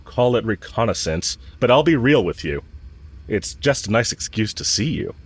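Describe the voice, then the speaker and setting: A man performs a smitten villain with a low voice, speaking straight to the listener as in an intimate audio drama. He is cocky and casual, teasing as he goes, and blunt about his affection. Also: very animated, normal speed, slightly rough. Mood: frank, teasing. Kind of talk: romantic audio roleplay, spoken intimately to the listener.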